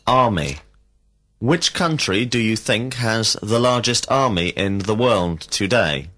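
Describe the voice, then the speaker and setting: A man reading out slow, carefully enunciated drill questions as an English teacher in a recorded lesson. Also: fairly low pitch, animated, bright, forceful tone. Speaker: language teacher.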